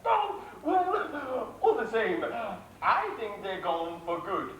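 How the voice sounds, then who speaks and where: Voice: medium pitch, wildly swooping pitch, slow pace, fairly bright tone, a little hiss or hum, heavily acted. An actor playing a scheming guardian in an amateur pantomime, broad, mocking stage delivery from a theatre stage. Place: theatre stage with live audience. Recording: echoey theatre hall, audience, music.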